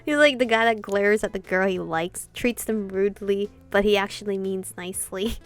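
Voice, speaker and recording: A woman streamer reading game dialogue aloud and reacting with casual, expressive commentary. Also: mid-range voice, moderate pace. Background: game music.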